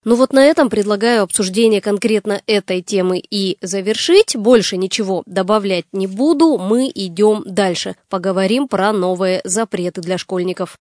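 Brisk woman radio host, dry studio. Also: mid-range voice, darkish tone, no room sound. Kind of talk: radio presenting.

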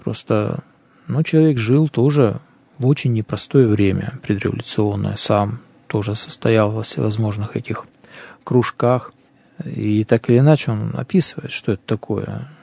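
A man's voice: lowish voice, fairly even intonation, moderate pace, gravelly, mellow, slightly rough, dry recording. A man who is a priest, talking earnestly and thinking aloud in a conversational religious program.

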